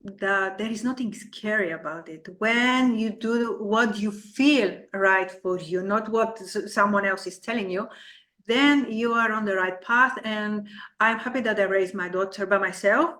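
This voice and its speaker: Bright-voiced Bulgarian woman, halting English. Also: medium pitch. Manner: earnest, halting non-native English with restarts. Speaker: guest, Neurographica coach. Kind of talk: casual interview.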